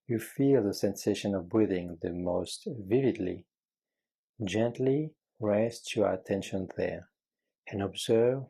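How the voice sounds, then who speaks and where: A man with a low, mellow, slightly husky voice leads a guided meditation. He speaks very slowly and gently, leaving frequent pauses between short, measured phrases of calm instruction. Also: low-quality recording.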